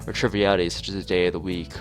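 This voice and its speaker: A man reading a visual novel aloud in an expressive narrating style, as a let's-player. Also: low voice, racing. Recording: music behind the voice. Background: clear background music.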